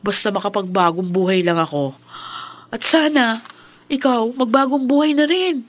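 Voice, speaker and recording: Actress as Sheila, plucky young Filipina, highish voice. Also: female voice, moderate pace, natural voice. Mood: proud, earnest. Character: plucky, determined young working-class woman, Sheila. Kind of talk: Filipino radio drama acting.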